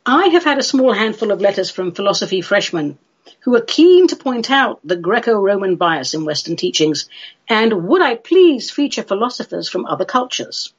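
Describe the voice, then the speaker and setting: A woman's voice: medium pitch, very animated, moderate pace, slightly rough, lo-fi sound. A woman from London with a London English accent hosting a chatty solo radio show in a playful, theatrical, droll manner. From London, England.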